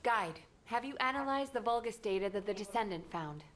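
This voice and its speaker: An actress playing a composed female squad leader who gives firm, measured commands. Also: medium pitch, lively intonation, background noise, close to an everyday voice. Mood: commanding.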